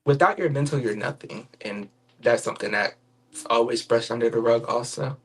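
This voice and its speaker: A young man from Mississippi, a podcast guest sharing his story in an earnest, measured way. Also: medium pitch, breathy edge. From Mississippi, USA. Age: young adult. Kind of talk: sharing a personal testimony.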